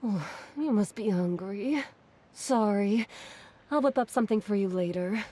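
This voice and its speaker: A woman voicing a kind, gentle woman who talks softly to her pet dinosaur. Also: medium pitch, close to an everyday voice. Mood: tender. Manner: soft, slow and motherly. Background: game music.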